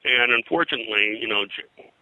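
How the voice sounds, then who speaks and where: An older man speaking as an interview guest and historian, telling anecdotes in a casual, halting, discursive way. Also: mid-range voice, pushed and bright, dry recording, low-quality recording. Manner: halting, discursive, hesitant phrasing.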